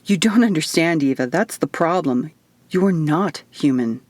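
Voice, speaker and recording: Woman narrator as gentle man, otherworldly protector, earnest, patient, dry close sound, fantasy audiobook. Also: sits low, lively intonation, unhurried, no room sound. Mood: anxious. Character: gentle, reassuring male otherworldly guardian, earnest and anxious.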